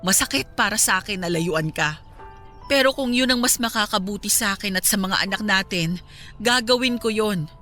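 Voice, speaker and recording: A woman's voice: medium pitch, moderate pace, bright, forceful tone, soft background music, natural voice. A woman with a Filipino accent playing a hurt, resolute young mother in a radio drama, firm and reproachful.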